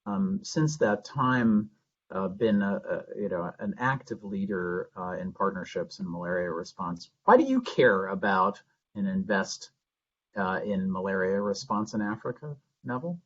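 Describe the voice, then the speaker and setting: Male panel moderator, somewhat low and husky, halting delivery. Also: very animated, a bit bright. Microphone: video call. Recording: dull lo-fi webinar audio.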